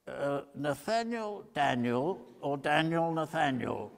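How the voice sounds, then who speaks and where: A man's voice: fairly high pitch, big pitch swings, slow, vocal fry, exaggerated voice. Man acting a querulous little judge, echoing. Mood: irritable. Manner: stammering, querulous, peremptory. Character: fussy, irritable, dim little male judge. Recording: reverberant church hall.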